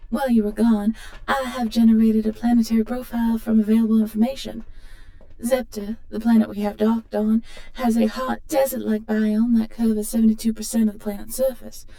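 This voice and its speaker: A woman playing a polite, dutiful ship's computer in a sci-fi audio roleplay, speaking formally and in a measured way. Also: fairly high pitch, flat delivery, dark tone, lightly acted. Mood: polite, informative. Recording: roomy echo, distant from the mic.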